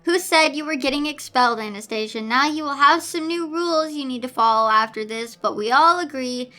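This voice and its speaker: Older schoolmistress as a woman narrator plays her, stern yet kindly, authoritative, bright tone, storybook reading. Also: high voice, a little fast, soft background music. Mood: firm, reassuring.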